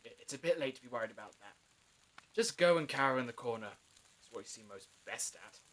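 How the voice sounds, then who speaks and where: A woman's voice: a bass voice, expressive, fast, low-quality recording. A woman acting a blunt, exasperated, scornful character in an audio drama.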